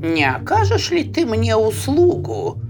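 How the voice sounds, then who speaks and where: An ugly storybook witch woman, acted out by a male narrator, pitched high and swooping wildly. She wails and hisses, pleading one moment and spiteful the next, with faint music behind her. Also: wildly swooping pitch, full character voice. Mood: coaxing. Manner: wailing, hissing, drawn-out pleas.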